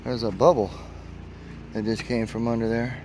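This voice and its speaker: A man's voice: medium pitch, steady tone, moderate pace, background noise. A man narrating a casual travel vlog as he walks outdoors with a handheld camera, exclaiming at what he finds. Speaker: travel vlogger. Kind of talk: casual walking tour narration. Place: outdoors at a spring park.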